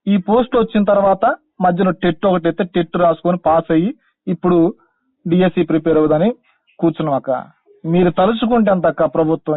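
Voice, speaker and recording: A young man pleads over a narrow, dry phone line in a high voice. He speaks Telangana Telugu, respectful yet insistent, as a caller making an appeal. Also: dry recording. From Khammam district, Telangana, India. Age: young adult. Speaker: caller, teacher-exam candidate.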